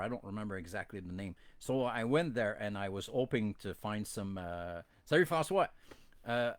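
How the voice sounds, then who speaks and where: A man's voice: mid-range voice, dry recording. Podcast host, male, Quebec French accent, French native speaker; rambling, self-deprecating live chat, close dry sound. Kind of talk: casual bilingual live-stream chat.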